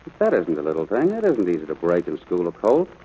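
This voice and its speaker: An actor playing a stern, worn-out rancher father, gruff but caring, in 1940s radio drama style. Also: sits low, huge pitch swings, quick pace, mellow, heavily acted. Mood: weary, gruff. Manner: gruff, exasperated. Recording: noisy old radio broadcast.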